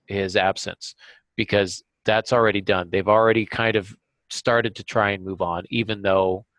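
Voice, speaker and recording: A man hosting a writing podcast, explaining things casually in a halting way and often correcting himself. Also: on the low side, fairly even intonation, a bit bright, no room sound, poor audio. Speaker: podcast host and novelist. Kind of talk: casual craft discussion with examples.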